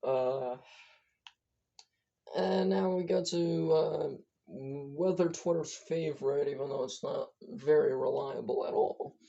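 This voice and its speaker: A hoarse speaker whose voice is giving out, giving a casual, rambling weather briefing as an amateur forecaster, stumbling and correcting themselves often. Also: medium pitch, slow, dark tone. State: hoarse, losing voice. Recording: dull lo-fi recording.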